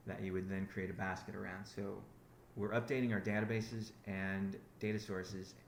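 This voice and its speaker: A man's voice: lowish voice, flat delivery, moderate pace, gravelly, roomy sound, a little hiss or hum. A man giving a rambling business update on a conference call, often restarting his sentences. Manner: rambling, self-correcting, many restarts. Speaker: company founder on a conference call.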